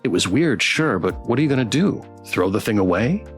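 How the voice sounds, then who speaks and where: A man's voice: lowish voice, sing-song, brisk, faint music behind. A man live-streaming a visual novel, reading aloud in a playful, theatrical way and adding his own comments. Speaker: game streamer.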